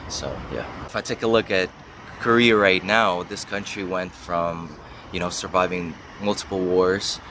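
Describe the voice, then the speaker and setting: Low-voiced young man, noisy street. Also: fairly even intonation, a little slow. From Russia and the US. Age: young adult. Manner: hedging, thinking aloud. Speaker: university student being interviewed. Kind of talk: casual interview answers.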